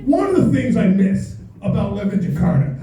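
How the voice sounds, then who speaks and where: High-voiced American man doing stand-up. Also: sing-song, fairly quick. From United States. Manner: playful, mock-exasperated delivery with crowd banter. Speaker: stand-up comedian. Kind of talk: stand-up comedy set. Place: comedy club stage with live audience. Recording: echoey room, voice a bit distant. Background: audience laughter.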